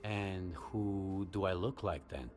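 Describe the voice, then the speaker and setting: An actor playing a cool, businesslike male survivor hero in a video game, speaking in a terse, measured, matter-of-fact way. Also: deep voice, a little mellow, faint music behind. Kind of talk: video game protagonist acting.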